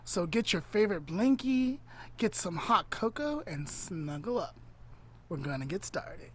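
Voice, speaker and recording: A woman's voice: lowish voice, very animated, measured pace, breathy edge. A woman co-hosting a podcast, chatting casually and introducing a story in a playful, teasing way. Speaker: podcast co-host. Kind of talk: casual chat.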